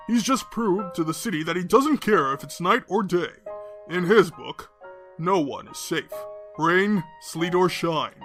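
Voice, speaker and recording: A solemn, sorrowful male news anchor, played by an actor in an audio drama. His voice is notably high, with music playing faintly behind it. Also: a bit bright.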